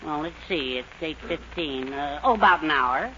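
A muffled, very noisy old radio recording of a henpecked American husband in a sitcom. His high voice frets and blusters, fussy to the core. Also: expressive, normal speed, bright, forceful tone. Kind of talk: 1940s radio sitcom acting.

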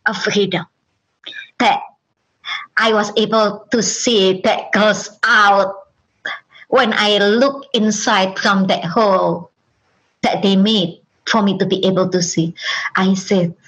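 Brief: woman, Indonesian accent, non-native English, interview guest telling her own story; slow, halting, lots of fillers. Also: mid-range voice, steady tone, a bit bright, poor audio. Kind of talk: personal testimony.

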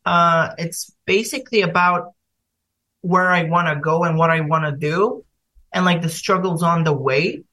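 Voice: mid-range voice, fairly even intonation, unhurried, sharp tone. A young singer from Bulgaria with a Bulgarian accent, talking casually as a podcast guest and hesitating with many fillers and restarts.

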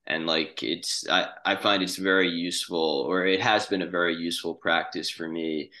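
Man, low voice, bright tone, podcast co-host, reflective, casual, halting phrasing. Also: fairly even intonation.

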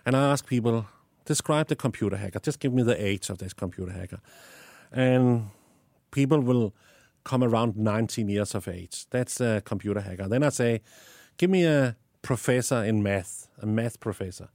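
A man's voice: lowish voice, moderate pace, darkish tone, dry recording. Danish-accented man explaining, dry close sound. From Denmark. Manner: explanatory, repeats words while thinking. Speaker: guest, STEM educator. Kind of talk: interview answers.

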